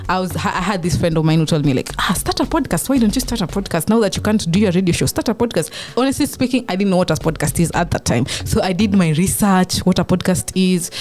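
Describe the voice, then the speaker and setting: A young Kenyan woman in her twenties with a loud, big voice, hosting her own podcast in bubbly casual chat and mixing in Swahili slang. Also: fairly low pitch. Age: 20s. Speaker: podcast host.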